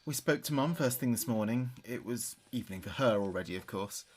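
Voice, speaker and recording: An actor playing a grieving son who talks reflectively and haltingly to his late father in an audio drama monologue. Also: medium pitch, lively intonation, close to an everyday voice. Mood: wistful, chatty.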